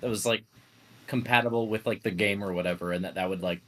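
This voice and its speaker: A man chatting casually in a hesitant, halting way, padded heavily with filler words. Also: deep voice, steady tone, fairly bright tone. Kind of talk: casual group chat.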